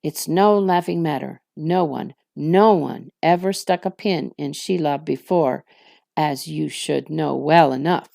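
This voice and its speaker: Woman doing a sardonic, slow-talking orc captain. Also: low voice, gravelly, warm tone, no room sound, clean studio sound, exaggerated voice. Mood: sardonic warning. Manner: sardonic, needling. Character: sly, mocking, gloomy male orc captain.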